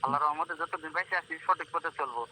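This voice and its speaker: A man's voice: on the high side, fast, bright, forceful tone. A man with a Bangladeshi accent speaking earnestly and insistently on a phone call, defending himself. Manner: earnest, insistent, addresses listener as brother. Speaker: local journalist. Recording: phone call with faint noise.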